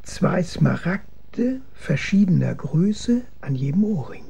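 Radio drama, thin fussy male expert: hesitant, breathy rasp, slow delivery with swooping pitch. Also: sing-song, warm tone, heavily acted. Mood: grave, precise. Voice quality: raspy, breathy. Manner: halting, echoing others, precise and ponderous.

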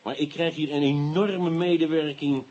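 An actor playing a brisk, wry police inspector with a Dutch accent in a radio drama. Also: male voice, a little high, steady tone, a little mellow, lightly acted. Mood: businesslike. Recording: dull, noisy radio play recording.

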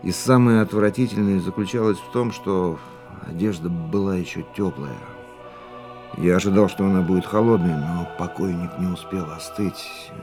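A man acting a war-worn man of about forty in a radio play. His low voice moves in measured, brooding phrases with dramatic pauses, haunted and reflective, over a clear bed of music. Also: natural voice.

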